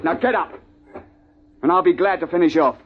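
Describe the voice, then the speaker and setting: An actor playing a stern British big-game hunting guide in a 1950s radio drama, speaking in a clipped, understated, old-fashioned way. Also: male voice, high-pitched, moderate pace, close to an everyday voice. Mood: furious contempt. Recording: muffled, noisy 1950s radio recording.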